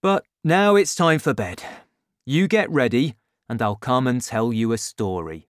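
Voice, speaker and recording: A father with a lowered, hushed voice, confiding and scheming, as a man reads him aloud. The lines come slowly, with lively shifts in pitch. The sound is dry and close. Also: no echo, natural voice. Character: warm, scheming father, quietly resentful. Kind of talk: audiobook character voice.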